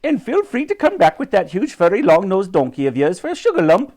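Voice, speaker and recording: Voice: medium pitch, wildly swooping pitch, a little fast, a little mellow, pitched above the performer's own voice. A storybook narrator voicing a cheerful, eccentric male market sweet seller with effusive patter. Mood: jolly, effusive. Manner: effusive, hollering salesman patter.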